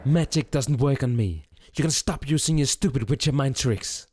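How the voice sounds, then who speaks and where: A man's voice: mid-range voice, moderate pace, darkish tone, breathy edge, no room sound, studio quality, pitched above the performer's own voice, close to an everyday voice. A man voicing a defiant, bitter female warrior in a dramatic fantasy story, with music behind. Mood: bitter defiance. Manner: accusing, scornful. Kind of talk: dramatic storybook character acting.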